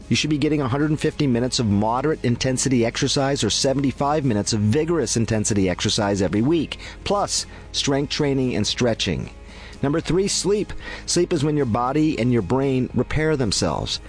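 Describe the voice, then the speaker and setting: A man presenting a scripted radio health segment in a clear, measured way. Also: medium pitch, lively intonation, faint music behind. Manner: clear, measured explanatory delivery.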